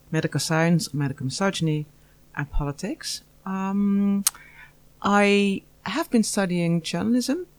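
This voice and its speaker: A woman's voice: on the low side, a little mellow, clear, resonant tone. A woman from the Netherlands, a student answering interview questions earnestly, with many hesitations. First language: Dutch. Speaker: master's student.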